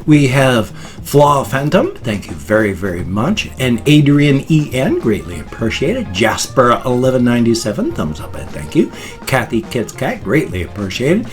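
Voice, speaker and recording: Middle-aged American male vlogger, unscripted and dorky, animated pitch, light music underneath. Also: moderate pace. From United States. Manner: rambling, dorky. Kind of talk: casual unscripted vlog chat.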